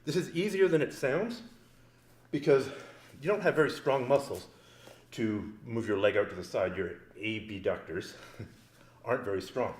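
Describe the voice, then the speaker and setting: A man's voice: medium pitch, measured pace, long pauses, reverberant, a little hiss or hum. A man teaching grappling moves in a casual, joking way, explaining each step as he demonstrates on a partner. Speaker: grappling instructor. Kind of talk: instructional demonstration. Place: training mat room.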